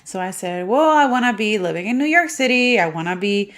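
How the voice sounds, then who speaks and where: A woman's voice: medium pitch, lively intonation, fairly quick, fairly bright tone. A woman in her thirties from Puerto Rico, hosting a solo podcast and telling a personal story in a chatty way. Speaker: podcast host.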